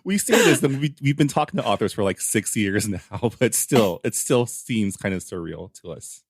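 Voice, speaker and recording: A man co-hosts a podcast in a casual chatting style. His voice is slightly low with very lively pitch movement, recorded dry and close. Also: moderate pace, breathy edge, dry recording. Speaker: podcast co-host.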